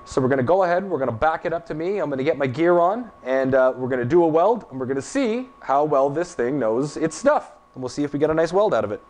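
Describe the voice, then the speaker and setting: A man in his thirties giving a casual demonstration of a welding machine in a workshop, talking in a relaxed, plain-spoken way with fillers. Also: on the high side, fast talker, few pauses.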